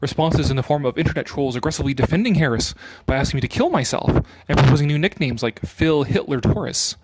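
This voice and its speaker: A man's voice: on the high side, fast, a little mellow, husky, poor audio. A man doing a sarcastic dramatic reading, breaking off for casual, opinionated and mocking commentary with some swearing. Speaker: commentator reading an article aloud with his own remarks.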